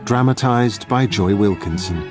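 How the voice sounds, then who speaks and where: A man's voice: lowish voice, measured pace. A man announcing the title of a radio drama in a scripted style. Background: violin and string music.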